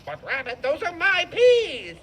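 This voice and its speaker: A woman voicing a moody, expressive female skunk who is easily exasperated, in a children's puppet show. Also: very high-pitched, unhurried, slight background noise, pitched above the performer's own voice, full character voice. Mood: suspicious, indignant.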